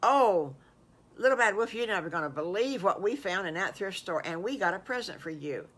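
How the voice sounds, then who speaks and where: Woman as an eager, bright-voiced little storybook pig. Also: medium pitch, lively intonation, moderate pace. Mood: excited. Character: eager, friendly young storybook pig playmate.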